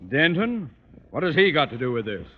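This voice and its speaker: A man's voice: fairly high pitch, sing-song, brisk. An actor playing a pompous, grumbling middle-aged principal and father in a 1940s radio sitcom, speaking in stuffy, formal phrases. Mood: irritated, sarcastic. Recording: noisy muffled vintage radio recording.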